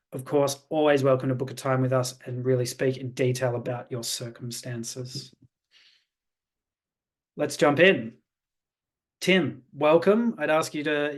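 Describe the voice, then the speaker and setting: A man hosting an online information webinar in a polished, salesy way with frequent fillers. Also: mid-range voice. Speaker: webinar host, programme representative. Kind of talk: presenting a webinar. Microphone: video call.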